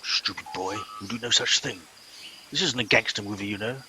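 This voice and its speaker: A man's voice: on the low side, brisk, pushed and bright, a little hiss or hum. A man playing a pompous, officious and easily exasperated captain in a comic radio play. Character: pompous, impatient male captain of bumbling crew.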